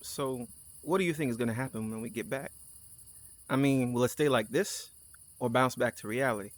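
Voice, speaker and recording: A man's voice: mid-range voice, normal speed, close to an everyday voice. A man playing an easygoing, teasing, candid crewmate on a mission in a naturalistic audio drama, talking casually. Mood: curious, nudging. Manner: casual, conversational.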